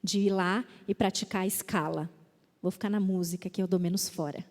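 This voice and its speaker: A woman's voice: medium pitch, steady tone, a little fast. A Brazilian woman speaking at a women's church conference, reading scripture aloud with emphasis and playfully mimicking excuses. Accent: Brazilian Portuguese. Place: church auditorium. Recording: reverberant church hall.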